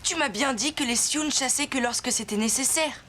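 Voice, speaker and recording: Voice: sits high, brisk, pushed and bright, a little hiss or hum. A performer voicing an earnest, determined and stubborn young Sioux boy in a French cartoon dub. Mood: indignant. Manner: earnest, spirited. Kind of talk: French animated series dubbing.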